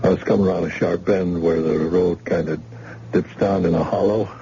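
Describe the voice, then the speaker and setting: An actor playing a wounded man who saw a shooting, halting and hesitant, in an old radio drama. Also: sits low, steady tone, vocal fry, mellow. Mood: shaken. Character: wounded witness man, shaken and scared. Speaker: shot crime witness in hospital. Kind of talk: vintage radio drama acting. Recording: dull lo-fi old radio recording with faint hiss.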